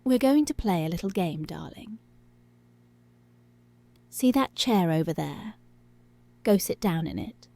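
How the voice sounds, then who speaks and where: Woman acting as a commanding seductive policewoman, very slow, soft dark tone, whispery, intimate close-up ASMR roleplay. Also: on the low side, lively intonation, slight background noise. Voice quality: breathy, often dropping to a whisper.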